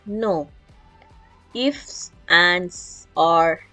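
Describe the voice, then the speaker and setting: A woman reading a children's rhyming picture book aloud in an expressive storytelling style. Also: fairly low pitch, very slow. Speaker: story reader. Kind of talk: animated children's read-aloud. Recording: music playing behind.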